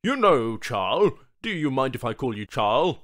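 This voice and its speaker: A man's voice: medium pitch, very animated, normal speed, a bit bright. A man voicing an eager, rambling, sycophantic corporate employee in an audiobook story. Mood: ingratiating. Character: chatty, sycophantic male office suit.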